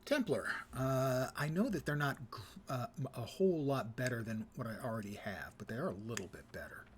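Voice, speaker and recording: Game streamer, middle-aged man, medium pitch, casual thinking-aloud commentary over clear background noise. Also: expressive, a little fast. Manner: thinks aloud while playing.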